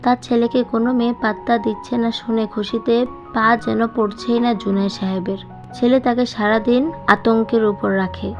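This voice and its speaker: Woman narrating a story over music. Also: on the high side. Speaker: audiobook narrator. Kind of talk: dramatic scripted story narration. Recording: dull sound with music behind.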